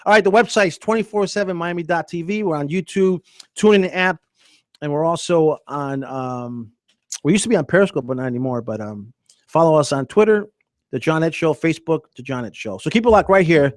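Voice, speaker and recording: A man's voice: on the high side, animated, brisk, dry recording, studio quality. A man hosting a casual sports radio show, chatting loosely with fillers and reading ads live.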